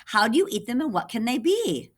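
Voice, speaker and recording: Puzzled storybook townsfolk, put on by a woman reader. They exclaim and question in a raised voice with very animated pitch. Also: brisk.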